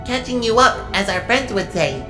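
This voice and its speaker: A woman's voice: on the high side, sing-song, moderate pace, reverberant, music in the background. A woman playing a helpful, dutiful robot assistant who reports in a precise, formal way in an audio drama. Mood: brisk, focused.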